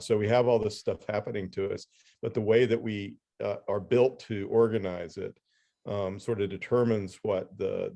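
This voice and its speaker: A man hosting a podcast in casual conversation, explaining his thoughts in a halting, thoughtful way. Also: fairly low pitch, gravelly, dark tone. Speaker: podcast host. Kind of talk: casual interview chat.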